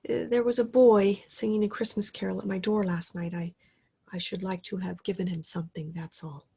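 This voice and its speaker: A woman's voice: mid-range voice, little pitch movement, fairly quick, creaky, mellow, husky. A woman doing a tearful old miser. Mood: wistful. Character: old male miser, overcome with memories.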